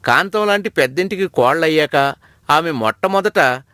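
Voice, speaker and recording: A man's voice: a little high, expressive, normal speed, pushed and bright, no echo, clean studio sound. A man giving scripted narration of a story as its narrator.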